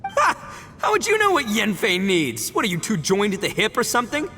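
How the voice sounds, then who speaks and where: A man's voice: high voice, wildly swooping pitch, moderate pace, bright, forceful tone, a little hiss or hum, exaggerated voice. An actor voicing a brash, blustering, easily offended young man in an anime-style game. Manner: blustering, exclamatory, slangy. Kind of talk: exaggerated anime game voice acting. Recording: long reverb, faint music.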